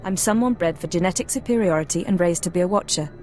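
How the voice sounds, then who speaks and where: A woman playing an aloof, guarded intelligence handler in a sci-fi video game, crisp and businesslike. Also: on the low side, fast, dark tone, faint music behind, lightly acted. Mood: composed, guarded. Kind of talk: scripted video game dialogue.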